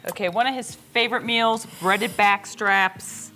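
A woman's voice: medium pitch, fairly even intonation, a little slow, pushed and bright, soft background music. A middle-aged woman hosting a casual cooking demonstration in a plainspoken, folksy way, recorded in a home kitchen. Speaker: cooking host.

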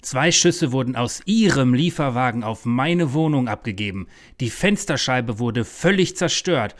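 Casting: man as haunted, overwrought paranoid in an audio-drama spoof, medium pitch. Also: animated, a little slow, lightly acted. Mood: outraged. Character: paranoid, overwrought man fearing a world conspiracy. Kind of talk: parody audio drama acting.

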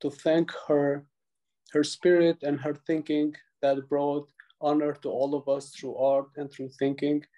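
Man, thirties, earnest, halting, dark mellow tone, video call. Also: a little high, little pitch movement, low-quality recording. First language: Albanian. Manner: earnest, many pauses and restarts. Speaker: tribute speaker. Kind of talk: halting prepared remarks. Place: small room indoors.

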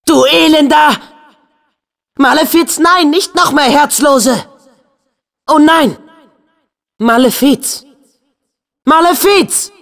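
A man's voice: extremely high pitch, slow, gravelly, long pauses, fairly bright tone, exaggerated voice. An actor voicing an earnest, hot-headed and loyal teenage boy hero in a video game, with a youthful, emphatic delivery. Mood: urgent, angry. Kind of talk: dubbed video game acting.

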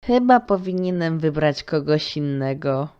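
A man's voice: on the high side, animated, unhurried, a little mellow, smooth, muffled recording. A man voicing a carefree, easily bored little boy prince in a cartoon fan dub, with a blunt, playful, childish way of talking. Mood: casual. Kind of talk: fan-dub comic acting. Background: music.

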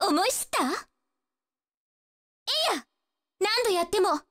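A woman's voice: very high-pitched, animated, measured pace, fairly bright tone, long reverb tail, full character voice. A woman voicing a spirited, cocky young female martial-arts fighter in a fighting game, brash, taunting and determined. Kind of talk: Japanese fighting-game voice acting, short battle lines.

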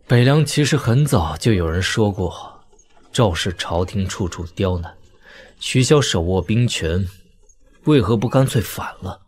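An actor playing a weary, tender young lord and elder brother in an audio drama, speaking in a measured, reflective way. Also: male voice, lowish voice, animated, darkish tone, husky. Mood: grave. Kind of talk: audio drama dialogue in Mandarin wuxia serial.

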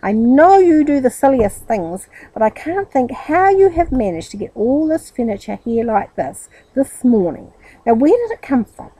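A woman's voice: high voice, big pitch swings, normal speed, warm tone, husky, voice pushed up, heavily acted, rough recording. A woman voicing a stern, exasperated aunt in a children's story, scolding her silly nephew firmly and emphatically.

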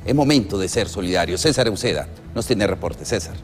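A man's voice: lowish voice, expressive, fairly quick, music in the background. A middle-aged man anchoring the news from a studio with a scripted delivery.

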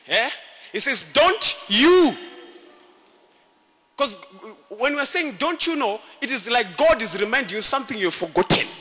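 A man preaching a fiery sermon, shouting and calling out in a sing-song, emphatic way. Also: very high voice, lively intonation, normal speed, in a large hall. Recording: live sermon with loud congregation.

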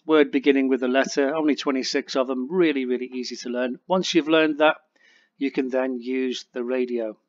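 A man's voice: medium pitch, fairly even intonation, normal speed, no room sound, lo-fi sound. A man giving an informal, plain-spoken instructional narration as a flight radio instructor. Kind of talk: casual instructional narration over flight simulator.

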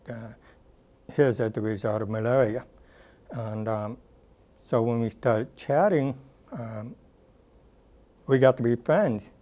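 A man in his sixties, a former rehabilitation counselor, recalling his career in a thoughtful, unhurried oral history interview, with frequent ums and uhs, recorded on a lapel mic in a dark studio. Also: mid-range voice.

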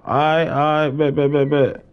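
A man's voice: on the high side, level tone, slow pace. Young man streaming in slangy, dull lo-fi audio. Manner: slangy, repeats phrases. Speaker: live-streamer playing an online role-play game. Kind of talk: casual gaming stream chat.

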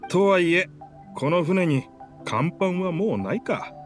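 Proud pirate-ship character, video game, old-fashioned swagger, lively swooping intonation, light music behind. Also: male voice, sits high, a little slow. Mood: rueful, theatrical. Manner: boastful, theatrical, old-fashioned masculine speech.

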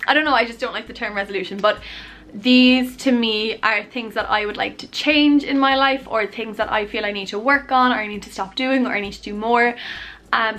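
A woman in her twenties rambling casually to camera in her bedroom, chatty and self-deprecating, her voice a little hoarse. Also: fairly high pitch, a bit bright, a little hiss or hum. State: losing her voice, coughing. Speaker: YouTuber. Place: bedroom, indoors. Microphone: camera on tripod at arm's length.

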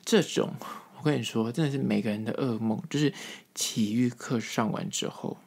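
A man with a Taiwanese Mandarin accent hosting a casual, humorous solo podcast, telling things in a chatty way with drawn-out emphasis. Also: medium pitch, vocal fry, darkish tone, husky. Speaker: podcast host.

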